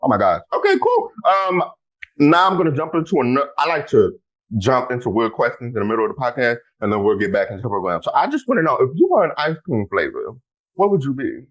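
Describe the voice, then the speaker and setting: A man hosting a casual podcast, talking in an animated way, exclaiming loudly and speaking straight to his listeners. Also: mid-range voice, quick pace, highs cut off. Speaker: podcast host.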